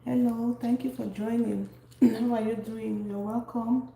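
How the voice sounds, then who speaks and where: Woman, cooking stream host, mellow gravelly voice, greets every viewer by name. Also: a little high, flat delivery, measured pace. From Cameroon. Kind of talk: casual live-stream chat while cooking. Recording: echoey room, faint background noise.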